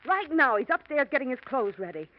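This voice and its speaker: An actress playing a worried young wife who pleads emotionally in a 1940s radio drama. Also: female voice, high-pitched, big pitch swings, fairly quick. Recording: dull old radio recording.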